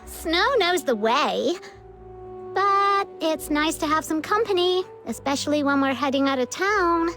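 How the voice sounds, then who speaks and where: A woman's voice: high voice, animated, measured pace, fairly bright tone, faint music behind, exaggerated voice. A woman voicing a cute, cheeky young girl in a video game, playful and sure of herself. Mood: cheeky, casual.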